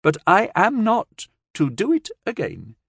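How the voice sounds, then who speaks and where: A childlike young alien creature with a high, lifted voice that speaks in careful, broken formal phrases, as a male narrator puts her on. The delivery is slow and earnest, and the sound is dry and close. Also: huge pitch swings, creaky, fairly bright tone, husky, no room sound, heavily acted.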